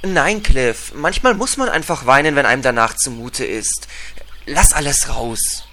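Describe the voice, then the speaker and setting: A man's voice: medium pitch, lively intonation, measured pace, sharp tone, a little hiss or hum. A man playing a pompous, overearnest hero and comforter of comrades in a parody audio drama, talking theatrically. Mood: earnest, consoling. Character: pompous heroic speechmaker, earnest comforter of comrades.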